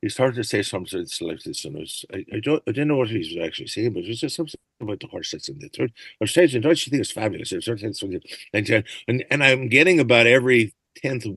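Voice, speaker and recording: A man's voice: lowish voice, animated, quick pace, hardly any pauses, a bit bright, husky, no echo. An older man chatting as a podcast guest, telling rambling stories and dropping into accent impressions now and then. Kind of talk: casual interview chat.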